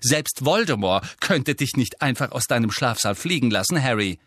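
A male narrator voicing a brisk, sensible, bossy teenage schoolgirl with a lecturing manner in an audiobook. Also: medium pitch, lively intonation, a bit bright, no room sound, clean studio sound, pitched above the performer's own voice. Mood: annoyed, lecturing.

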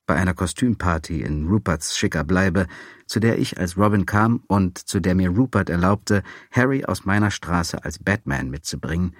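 A man's voice: low voice, no echo. A man reading a first-person memoir as an audiobook, with dry, wry storytelling. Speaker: audiobook narrator.

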